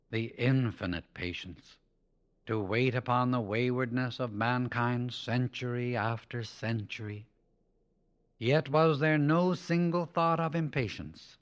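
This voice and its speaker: A man's voice: medium pitch, highs cut off. Earnest man reading a spiritual discourse. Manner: measured, earnest, exhortative delivery. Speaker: audiobook narrator.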